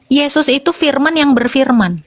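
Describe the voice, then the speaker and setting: Assertive higher-voiced woman debating on a call. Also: expressive, dry recording. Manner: assertive, quick rhetorical retorts.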